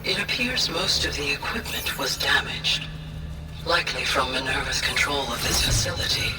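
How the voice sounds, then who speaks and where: An actor voicing a calm, precise artificial intelligence in a game, formal and explanatory, echoey and distant over music. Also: mid-range voice, moderate pace, close to an everyday voice. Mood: calm, informative. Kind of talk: video game cutscene dialogue.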